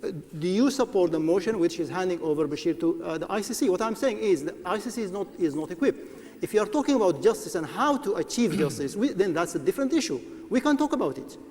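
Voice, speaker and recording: A combative man, defending his side on a debate panel. His voice sits high for a man, and he cuts in and repeats phrases as he pushes back. A long hall reverb trails behind each line. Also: lively intonation, fast, a little mellow. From Sudan. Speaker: government adviser on a debate panel. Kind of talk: formal public debate.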